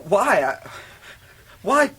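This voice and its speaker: A gentle, muddled middle-aged English gentleman in a period radio play. His high voice wanders up and down as he stammers, vague, childlike and eager to please. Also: big pitch swings, very slow, slightly rough, exaggerated voice. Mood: befuddled. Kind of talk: radio drama acting of a Victorian classic.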